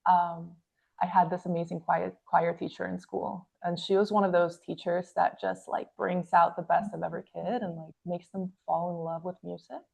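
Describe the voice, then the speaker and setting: A woman's voice: lowish voice, flat delivery, moderate pace, vocal fry, darkish tone, breathy edge, low-quality recording. A woman from Venezuela, a singer, telling her story earnestly in a casual interview. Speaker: singer guest.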